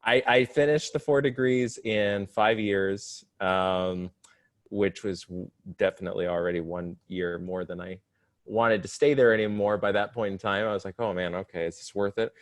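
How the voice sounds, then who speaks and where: A man's voice: fairly low pitch, steady tone, normal speed, dry recording. A young man in his twenties as a podcast guest, explaining his studies in an articulate way with some self-deprecating humor. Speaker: podcast guest, divinity student. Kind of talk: casual interview chat.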